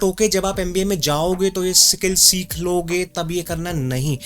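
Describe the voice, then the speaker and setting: Young Indian male host, quick earnest advice delivery, slightly high voice, mild stammer, Indian English, soft music behind. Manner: earnest, mixes English with Hindi. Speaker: YouTube host and career coach. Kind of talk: direct-to-camera advice talk.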